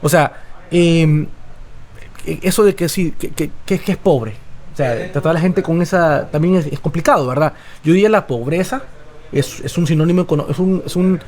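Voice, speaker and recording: A man's voice: on the high side, moderate pace, a little mellow. A man of about forty with a Honduran accent, talking casually and emphatically as an interview guest, repeating phrases and adding tag questions.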